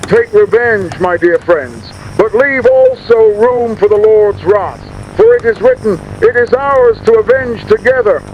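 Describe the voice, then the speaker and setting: An actor playing a grave, menacing reverend who solemnly declaims vengeful scripture in a recorded sermon for a radio horror drama. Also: male voice, high voice, animated, a little slow, few pauses. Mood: solemn, ominous. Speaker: church reverend. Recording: muffled recorded message, faint noise.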